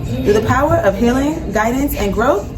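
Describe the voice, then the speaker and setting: A woman's voice: fairly high pitch, lively intonation, moderate pace, recorded from a distance, over loud music, rough recording. A woman co-host delivering a scripted, upbeat introduction to a dating show.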